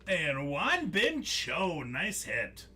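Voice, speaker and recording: A man's voice: fairly high pitch, wildly swooping pitch, very slow, bright, forceful tone, husky. A young man hosting a live trading-card break stream, chatting casually with viewers by name, laughing often as he reads out the cards he pulls.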